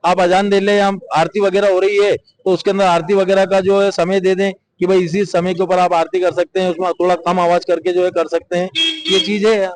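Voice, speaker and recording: A man giving measured, explanatory answers in an interview, reasoning through his view. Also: high voice, level tone, low-quality recording. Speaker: member of the public interviewed.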